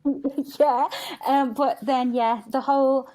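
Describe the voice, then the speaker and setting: Chatty woman with a higher-pitched voice. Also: fairly even intonation, slow, dark tone, poor audio. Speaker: podcast guest. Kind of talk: candid casual interview chat.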